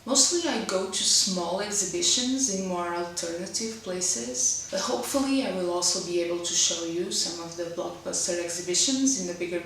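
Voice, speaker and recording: A woman's voice: on the low side, fairly bright tone, a little hiss or hum. A woman in her twenties from Portugal with a Portuguese accent, English being her second language, hosting a casual web series and talking carefully with occasional hesitations. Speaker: host. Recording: roomy echo, mic at a distance.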